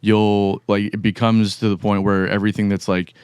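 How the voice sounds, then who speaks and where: A man hosting a podcast, a musician talking over his work in a halting, reflective way. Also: on the low side, little pitch movement, a little mellow, smooth, no room sound, clean studio sound. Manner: halting, reflective, many restarts. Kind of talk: casual podcast chat.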